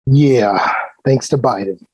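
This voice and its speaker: A man acting a blunt, sarcastic, stubborn conservative uncle with a husky voice in a comedy table read. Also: mid-range voice, takes it slowly, darkish tone, dry recording. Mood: grumbling.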